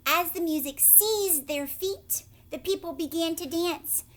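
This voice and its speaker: A woman teacher reading a storybook aloud to young children, dramatic and expressive, with hushed questioning asides. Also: very high-pitched, a little slow, a little hiss or hum.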